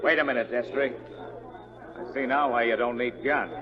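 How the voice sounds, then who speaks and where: A man's voice: mid-range voice, fairly even intonation, normal speed, crowd noise, lightly acted. An actor playing a stubborn, confrontational cattleman in a 1940s radio Western. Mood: defiant. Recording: dull old radio broadcast.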